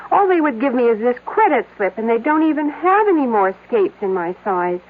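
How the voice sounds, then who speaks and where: An actress playing an exasperated, fretful shopper in a scripted radio spot. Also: female voice, on the high side, big pitch swings, fairly quick. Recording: tinny phone-like radio, faint hiss.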